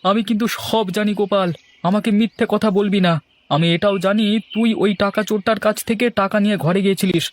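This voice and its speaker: A man's voice: high-pitched, steady tone, fast, natural voice. A man voicing an anxious, wronged village errand runner in a cartoon, fretful and plaintive. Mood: accusing. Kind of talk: cartoon dialogue acting.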